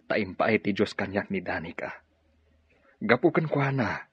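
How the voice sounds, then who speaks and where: A man acting a gentle middle-aged father and professor in Ilocano radio drama, with an Ilocano accent. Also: on the low side, expressive, unhurried, breathy edge, muffled recording, heavily acted. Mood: gentle, reflective. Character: fatherly retired professor and farm owner, gentle.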